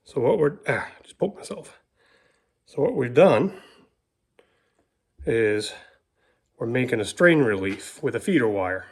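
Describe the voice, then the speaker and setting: Male DIY host, medium pitch, colloquial and down-to-earth, talking through practical steps while his hands are busy. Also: sing-song, a little slow, long pauses, slightly rough. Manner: folksy, colloquial. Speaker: host of a DIY how-to video. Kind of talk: casual hands-on tutorial talk while working.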